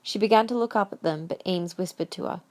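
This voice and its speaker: A woman's voice: on the low side, quick pace. A woman reading scripted narration for a fan-made audio drama.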